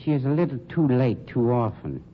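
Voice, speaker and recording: An actor in an old-time radio crime drama playing a suave, sardonic funeral director with polished, condescending delivery. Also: male voice, medium pitch, expressive, close to an everyday voice. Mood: dry sarcasm. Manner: polished, sarcastic, condescending, deliberate diction. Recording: dull, noisy old radio recording.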